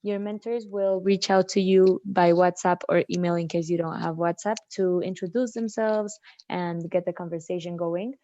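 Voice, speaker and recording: A woman hosting an online workshop, friendly and organized, pausing often with fillers. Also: fairly low pitch, level tone, dark tone. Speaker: workshop host and mentor. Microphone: video call. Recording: dull video call audio.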